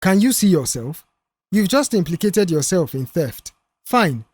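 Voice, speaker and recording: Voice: mid-range voice, sing-song, a little slow, a little mellow, breathy edge, no echo. A narrator voicing a defensive, indignant male worker in an audiobook story. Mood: defensive, challenging.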